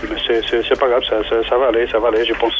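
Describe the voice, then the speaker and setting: A man in his thirties from Spain, calling in with a Spanish accent, halting as he searches for French words. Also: mid-range voice, little pitch movement, low-quality recording. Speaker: caller.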